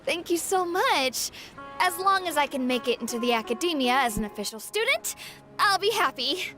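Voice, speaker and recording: A woman's voice: high voice, lively intonation, normal speed, over music. A woman voicing a polite, eager young female student in a video game. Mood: grateful, hopeful.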